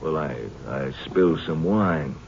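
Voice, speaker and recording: An actor playing an oily, evasive gambling racketeer who stammers nervously in a 1950s radio crime drama. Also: male voice, extremely low pitch, unhurried, a little mellow. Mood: nervously ingratiating. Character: slippery, nervous male gambling-joint racketeer. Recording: lo-fi old radio recording, faint noise.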